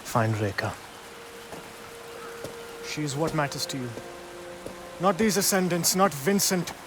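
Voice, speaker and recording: An actor playing a loyal, protective adventurer companion in a video game, speaking in earnest, urging phrases. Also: male voice, on the high side, expressive, slow pace, background noise. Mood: solemn urging. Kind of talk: video game cutscene acting.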